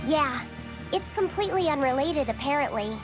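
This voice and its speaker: A gentle, studious little boy from a video game, very high in pitch. He talks earnestly and softly, with music clearly playing behind him. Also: expressive, normal speed. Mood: earnest, explaining. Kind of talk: dubbed video game dialogue.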